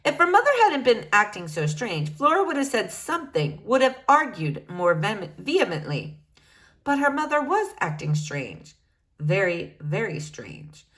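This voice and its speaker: A woman reads a children's novel aloud in a middle-pitched voice. Her intonation swings widely from line to line, and she lifts or drops her voice to give each character their own sound. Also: big pitch swings, moderate pace, fairly bright tone. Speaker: reader.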